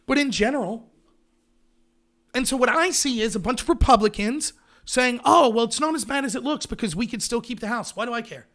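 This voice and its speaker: A man with a strikingly high voice delivers a heated political rant. He leans on sarcasm and rhetorical questions, punching each point with emphasis. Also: fairly quick, fairly bright tone.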